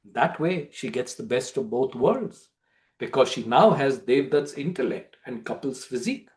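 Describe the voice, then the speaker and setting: A man narrating as a storyteller, giving a theatrical, expressive dramatic reading of a play. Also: medium pitch, moderate pace.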